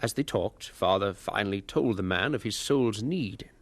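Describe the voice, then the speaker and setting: Earnest storytelling evangelist, dry sound. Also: male voice, on the low side, normal speed, no echo. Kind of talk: scripted dramatic narration.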